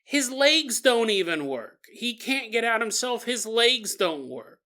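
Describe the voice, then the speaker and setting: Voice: medium pitch, big pitch swings, measured pace, sharp tone, pitched above the performer's own voice. A storyteller voicing a terrified three-year-old girl who pleads insistently for help, repeating herself. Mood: desperate pleading.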